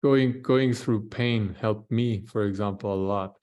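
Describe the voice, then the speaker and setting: A man from Austria, a panelist telling his story in a reflective, measured way. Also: lowish voice, a little mellow, dull audio. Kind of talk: panel discussion.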